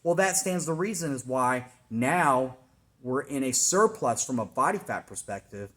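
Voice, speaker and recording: Brief: 40s male trainer, relaxed chatty vlog delivery, mid-pitched, explains with filler words. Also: normal speed. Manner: conversational, explanatory, many fillers and restarts. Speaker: fitness trainer. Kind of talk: casual weekly check-in vlog. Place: home workout room.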